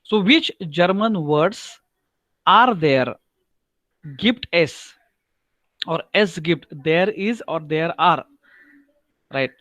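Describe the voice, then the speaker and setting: A man with a Marathi-accented Indian English voice, teaching German in an online lecture, often repeating himself, asking "right?" and drawing out German words slowly. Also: a little high, lively intonation, a bit bright, dry recording. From Maharashtra, India.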